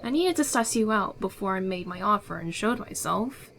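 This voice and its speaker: A woman's voice: mid-range voice, animated, normal speed, fairly bright tone, slight background noise. A woman playing a confident, secretive smuggler in a fantasy ASMR roleplay, with an assured, persuasive, theatrical delivery. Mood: sly, assured. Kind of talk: ASMR audio roleplay acting.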